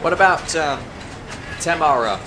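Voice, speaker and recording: A man's voice: on the high side, lively intonation, measured pace, noisy background. A man in an audio play acting as an eager, deferential boatman, the skipper's mate, with colloquial speech. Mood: tentative.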